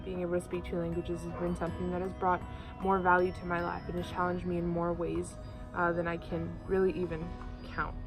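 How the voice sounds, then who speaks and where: A woman's voice: on the low side, monotone, fairly quick. A nineteen-year-old woman from Oklahoma in an interview, talking thoughtfully with frequent ums and uhs. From Oklahoma, USA. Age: teens. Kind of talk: casual recorded interview. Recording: music playing underneath.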